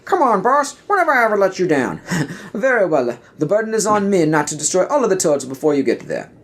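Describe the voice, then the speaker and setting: A man's voice: high voice, sing-song, a little fast, a bit bright, slightly rough, voice pushed up, exaggerated voice. A man voicing Mario as a wise, kindly older Jedi master in a lilting, earnest way, for an audio play. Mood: confident, playful.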